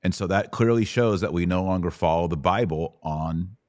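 A middle-aged man with a low voice, recorded close and dry. He hosts a casual Bible Q&A podcast, explaining passages conversationally and voicing paraphrases in plain everyday speech. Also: moderate pace, a little mellow, no room sound. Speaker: podcast host answering Bible questions. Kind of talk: casual live Bible Q&A teaching.

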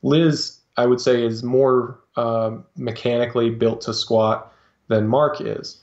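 A man talking as a guest on a podcast, explaining his coaching in a halting way with lots of fillers and restarts. His voice sits in a middle register, and the recording sounds a little dull and lo-fi. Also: mid-range voice, level tone, darkish tone. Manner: hesitant, many fillers and restarts. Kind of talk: casual interview talk.

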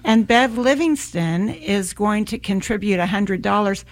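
Slow gravelly-voiced woman radio host. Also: mid-range voice. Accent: American. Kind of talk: community radio fundraiser hosting.

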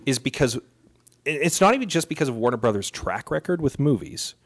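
A man with a medium-pitched voice in relaxed podcast conversation. He is opinionated and emphatic, building his arguments through repeated phrasing. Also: brisk. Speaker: podcast co-host. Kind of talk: casual chat about films and comics.

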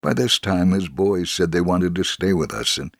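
A man giving a dramatic first-person reading of a testimony, measured and solemn. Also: deep voice, gravelly, no room sound, clean studio sound. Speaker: dramatic reader.

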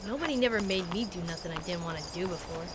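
A woman's voice: fairly low pitch, fast talker, very noisy, natural voice. A performer playing a blunt, cheeky frontier boy with unschooled American country talk in a Western radio drama. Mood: defiant, unsure.

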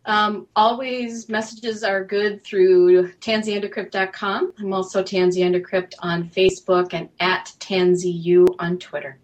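A woman's voice: medium pitch, little pitch movement, a little slow, few pauses, rough recording. A woman speaking as a guest writer-producer in a casual panel discussion.

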